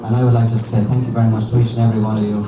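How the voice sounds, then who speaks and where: A man's voice: lowish voice, flat delivery, racing, a crowd in the background. A man giving a formal vote of thanks as a speaker at a public event. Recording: echoey, very noisy hall, distant microphone.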